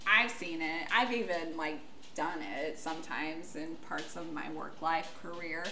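A woman from the United States, a podcast guest, talking bluntly and loudly, laughing as she goes. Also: low voice, a little slow, background noise. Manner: loud, outspoken, blunt, laughing. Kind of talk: casual podcast chat. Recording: echoey room.

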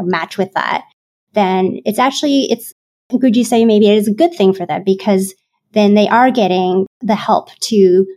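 A woman's voice: medium pitch, warm tone. A woman podcast host asking candid, reflective questions and thinking aloud. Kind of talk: interview questions.

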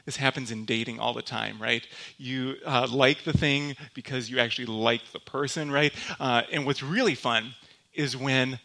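A young man from Michigan giving a church talk as a guest speaker, telling personal stories in a relaxed, joking way with lots of fillers and restarts. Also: mid-range voice, moderate pace. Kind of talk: sermon told as personal stories.

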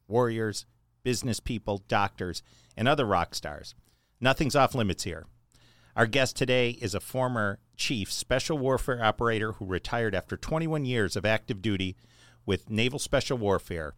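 A man hosting a podcast, reading out a guest's introduction in a measured, list-like way, then chatting. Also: mid-range voice, a bit bright, dry recording. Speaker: podcast host.

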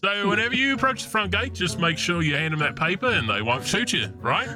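Guy doing a gruff army commander in a tabletop game, curt orders, loud music under it. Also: high voice, sing-song, a little fast, pitched above the performer's own voice. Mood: matter-of-fact. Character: gruff, curt military paladin officer giving orders. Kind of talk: improvised tabletop roleplay.

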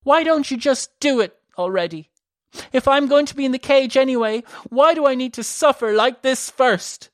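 A woman voicing a high, sobbing, frantic young girl. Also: moderate pace, no room sound, studio quality, close to an everyday voice. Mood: desperate sobbing. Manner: sobbing, panicked pleading. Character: distraught weeping young girl.

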